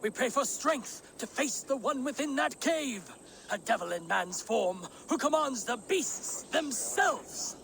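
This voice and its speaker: An actor playing a fearful, zealous Saxon monk in a video game, speaking gravely and fervently. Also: male voice, extremely high pitch, lively intonation, unhurried, pushed and bright, slight background noise, heavily acted. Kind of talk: video game cutscene acting.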